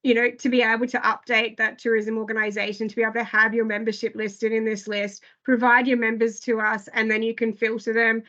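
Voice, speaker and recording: A woman giving an online product briefing, slightly hoarse and full of hesitant fillers. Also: fairly high pitch, little pitch movement, fairly quick, hardly any pauses, sharp tone, dull audio. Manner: filler-heavy, frequent "I guess" and "um". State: losing her voice. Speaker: product presenter.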